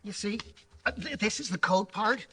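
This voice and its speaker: A man playing an eager, boyish young helper in an old-time radio adventure, with halting, earnest delivery. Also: high-pitched, sharp tone, breathy edge, heavily acted. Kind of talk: live old-time radio juvenile acting.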